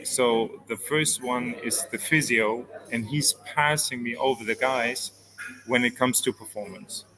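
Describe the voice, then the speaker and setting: Swiss guy talking training, lots of ums, noisy crowd behind him. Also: mid-range voice. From Switzerland. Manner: explains step by step with many fillers. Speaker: performance coach. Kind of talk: interview answers.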